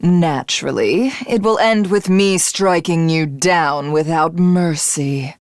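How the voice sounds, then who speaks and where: A woman's voice: low-pitched, slow pace, dry recording, studio quality. A woman voicing a haughty, ruthless alien villainess in a video game, with a commanding, imperious and grandiose delivery. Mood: smug, menacing.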